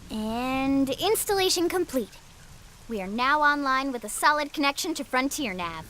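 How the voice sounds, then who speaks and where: A woman's voice: high voice, animated, normal speed, sharp tone, slight background noise. An actress playing an eager, chirpy young female military technician in a video game. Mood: upbeat, eager. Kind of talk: scripted video game dialogue.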